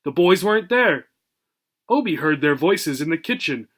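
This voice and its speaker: A man reading a children's story aloud as a teacher, deliberate and expressive, emphasising words for learners. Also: a little high.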